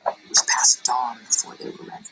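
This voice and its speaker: Expressive woman reading a storybook aloud. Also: whispered, poor audio. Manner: expressive, slows for dramatic phrases. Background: music.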